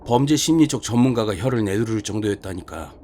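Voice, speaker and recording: A man voicing a gruff, chatty senior detective in an audio horror story, speaking in a blunt, colloquial, emphatic way. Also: on the low side, expressive, quick pace, mellow, a little hiss or hum, natural voice. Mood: gossipy, animated. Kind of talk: dramatized horror short story reading.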